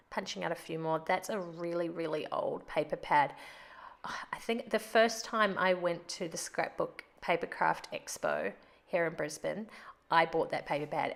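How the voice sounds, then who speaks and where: A woman's voice: fairly low pitch, vocal fry. A woman hosting a craft video, narrating casually in a chatty, rambling way, sounding stuffed up from a cold. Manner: chatty and rambling, laughs often.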